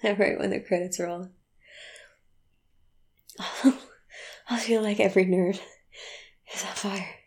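A woman speaking a slow, intimate audio roleplay monologue, often in a breathy whisper with sighs and soft laughs between phrases. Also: mid-range voice, fairly even intonation.

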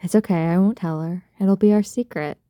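A woman speaking in an intimate adult roleplay style straight to the listener, soft, breathy and coaxing, full of doting pet names. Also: fairly low pitch, brisk, dry recording, clean studio sound. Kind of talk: intimate erotic roleplay audio, addressing the listener.

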